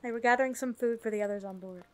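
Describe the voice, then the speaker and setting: Woman playing composed ship woman in fantasy audio drama, highish voice. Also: rapid-fire, natural voice. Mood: earnest.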